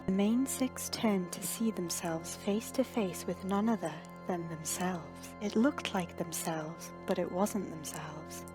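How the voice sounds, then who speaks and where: A woman's voice: fairly low pitch, slow pace, few pauses, music in the background. A woman narrator reading a scripted story in a dramatic storytelling style.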